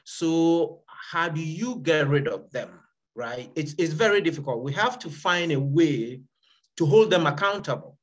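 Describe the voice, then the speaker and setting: A man's voice: on the high side, expressive, measured pace. A middle-aged man on a video call, stating his view firmly and often repeating words. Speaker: town hall participant. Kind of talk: panel discussion. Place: home room.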